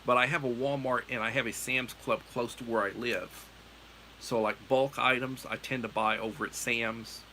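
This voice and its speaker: A man's voice: medium pitch, steady tone, moderate pace, bright, forceful tone, a little hiss or hum. A middle-aged American man hosting a livestream, chatting in a meandering, self-correcting way. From United States. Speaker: livestream host. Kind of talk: casual rambling chat.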